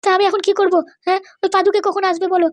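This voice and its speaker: A woman's voice: extremely high pitch, little pitch movement, racing. A woman voicing an anxious older parent in an amateur cartoon, pleading and insistent.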